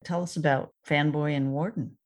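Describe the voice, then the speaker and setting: Woman as podcast interviewer: measured introductions and brief questions to a guest. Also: deep voice, fairly even intonation. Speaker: podcast host, writer and producer.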